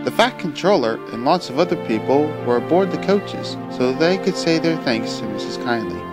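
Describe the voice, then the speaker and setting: A man narrating a fan audio drama in an expressive storybook manner. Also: medium pitch, normal speed. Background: loud music.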